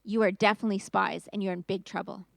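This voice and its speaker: A woman's voice: mid-range voice, steady tone, quick pace, creaky, no room sound, clean studio sound, lightly acted. A woman voicing a stern, suspicious governor of Egypt in a storybook retelling, speaking in a threatening way.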